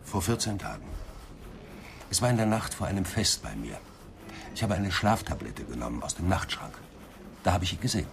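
An actor playing a vain, defensive older psychoanalyst under interrogation in a radio crime drama, testy and sardonic. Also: male voice, low-pitched, big pitch swings, brisk, creaky, long pauses, noisy background, exaggerated voice. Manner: testy, self-important, sardonic.

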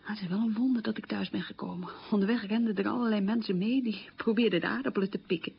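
A woman playing a resilient survivor of childhood poverty, recounting memories in a plain, unhurried monologue for radio. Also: medium pitch, gravelly, a little hiss or hum, close to an everyday voice. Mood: matter-of-fact. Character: resilient woman recalling a poor, hungry wartime childhood. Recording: dull, lo-fi radio recording.

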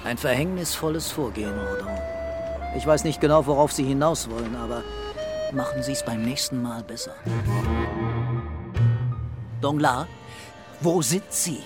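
An actor playing a calm, brooding police commissaire with terse, deliberate authority in a radio crime drama. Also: male voice, mid-range voice, loud music behind the voice, natural voice.

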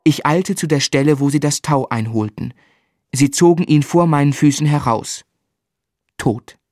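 An actor playing a grave, shaken narrator recalling a tragedy, with measured, dramatic literary delivery in a radio play. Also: male voice, fairly high pitch, darkish tone, close to an everyday voice. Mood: anguished suspense. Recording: studio radio drama with musical underscore.

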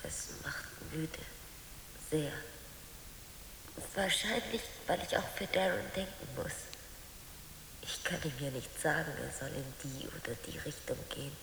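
A woman playing an exhausted, resigned daughter in a radio drama, speaking in short, subdued, breathy answers. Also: deep voice, measured pace, long pauses, fairly bright tone, slight background noise, full character voice. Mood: weary, resigned. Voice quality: breathy, whispery. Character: exhausted, resigned grown daughter, calm and dutiful. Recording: echoey reverberant hall.

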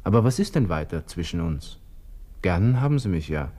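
An actor playing a despairing young army lieutenant with an Austrian accent, talking to himself haltingly in a radio drama monologue. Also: male voice, deep voice, very animated, dark tone. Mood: anguished, reflective. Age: 20s.

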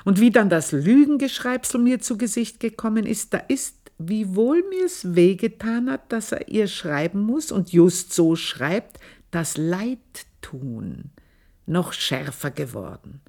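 A woman's voice: mid-range voice, lively intonation, slow, mellow. An actress playing an older housemaid who tells her own story in a radio play, speaking in an intense, confiding and scornful way. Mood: bitter confiding. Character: aging housemaid, bitter, proud, long in service.

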